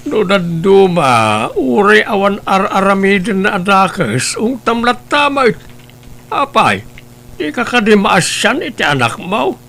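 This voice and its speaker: Man voicing a stern old grandfather, gravelly tone, bright edge, slow and reproachful, family drama. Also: high-pitched, very animated, exaggerated voice. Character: grave elderly grandfather, stern but caring. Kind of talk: Ilocano radio-style family drama.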